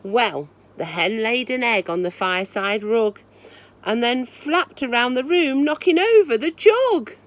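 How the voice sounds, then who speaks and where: A woman storyteller reading a rhyming children's book aloud in an expressive, sing-song manner. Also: fairly high pitch, measured pace.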